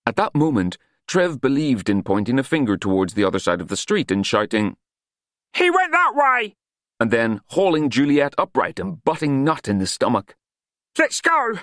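A man's voice: medium pitch, big pitch swings, a little fast, hardly any pauses, no room sound, studio quality. A man reading scripted fiction as an audiobook narrator, in a dramatic storytelling style.

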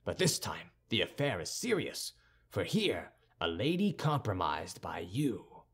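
Male narrator as sardonic, haughty gentleman soldier for storybook; formal, composed, lowered voice with varied melody. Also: very animated, breathy edge, full character voice. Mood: grave, cool. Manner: measured, condescending, formal. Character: cool, haughty young musketeer with churchly airs, dryly sardonic. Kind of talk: audiobook character dialogue.

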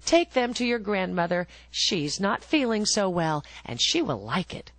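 A woman voicing a kind, caring mother giving gentle instructions in a read-aloud fairy tale. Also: medium pitch, very animated, unhurried, no echo.

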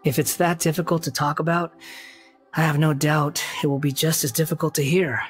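A man voices a youthful wanderer, composed and guarded. He speaks formally and with measured reserve, in video game drama style, with faint music behind him. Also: on the high side, level tone, lightly acted. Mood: resolute. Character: young male wanderer with lost past, polite, composed, guarded.

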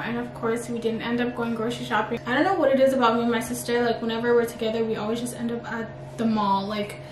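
A young woman vlogger chatting casually to her viewers in a gushing way, saying "like" often. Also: fairly high pitch. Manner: gushing, filler-heavy "like" speech. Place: indoors at home, echoey room. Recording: echoey room, far from mic, noisy.

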